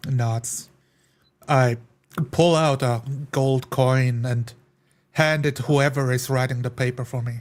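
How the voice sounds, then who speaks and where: A man playing a tabletop roleplaying game, describing his actions in a halting, deliberate way. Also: medium pitch, fairly even intonation. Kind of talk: improvised roleplay narration.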